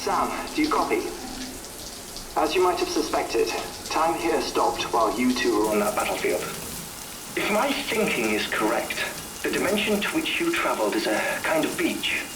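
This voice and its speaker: A man playing an earnest, thoughtful scientist in a video game cutscene, explaining theories haltingly. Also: mid-range voice, very animated, roomy sound, noisy background, lightly acted. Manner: halting, explanatory, with hesitant pauses.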